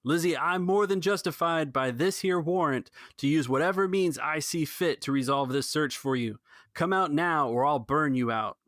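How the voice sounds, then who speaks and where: Villainous private eye, played by a male game master: loud, theatrical, menacing, pitched above a normal talking voice. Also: normal speed, no echo, clean studio sound, lightly acted. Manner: shouting, theatrical.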